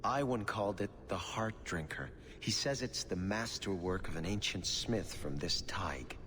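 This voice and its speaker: An actor playing a distressed young merchant's son in a video game, earnest and pleading. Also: male voice, sits low, lively intonation, measured pace, noisy background. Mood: dismayed. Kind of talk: video game cutscene acting.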